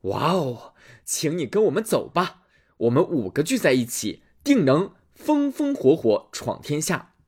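A man voicing a bold, boastful discharged soldier in a storybook reading, with a husky voice. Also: fairly high pitch, sing-song, measured pace, a little mellow, heavily acted. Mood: rousing. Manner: boastful, rousing.

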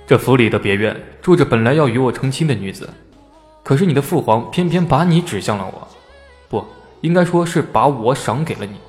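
A man's voice: mid-range voice, lively intonation, fairly quick, a little mellow, lightly acted. A man playing an embittered, lovelorn young noble husband in a Chinese historical audio drama. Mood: bitter, accusing. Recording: long reverb, faint music behind.

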